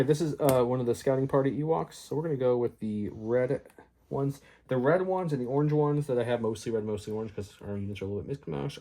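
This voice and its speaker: Fast-talking husky man explaining game moves. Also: medium pitch, warm tone. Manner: fast, chatty, explaining moves and dice rolls. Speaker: tabletop wargame player. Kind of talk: casual battle report play-by-play.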